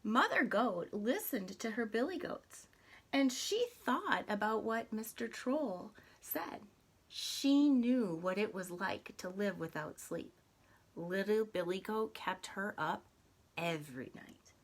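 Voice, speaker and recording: A woman reading a children's story aloud in an expressive, deliberate, dramatic way. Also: medium pitch. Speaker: storybook reader.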